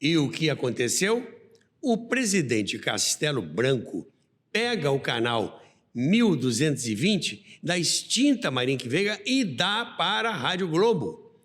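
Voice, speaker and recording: A Brazilian man narrates radio history in a slow, lively voice that rises and falls widely. He moves between scripted storytelling and asides to the listener, and a long reverb trails his words. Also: fairly high pitch. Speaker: podcast host and narrator. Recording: long reverberant hall sound.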